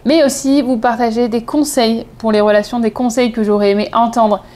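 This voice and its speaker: A woman's voice: on the high side, fairly even intonation, fairly quick, darkish tone. A woman in her thirties from southeast France with a French accent, telling personal stories in a chatty, digressive vlog style, often correcting herself. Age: 30s. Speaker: vlogger. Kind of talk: casual personal storytelling to camera.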